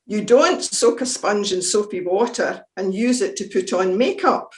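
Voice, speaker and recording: Slow reflective woman in a roomy space. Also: mid-range voice. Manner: calm, reflective reading. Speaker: devotional reader. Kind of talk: scripted church reflection reading. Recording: roomy echo.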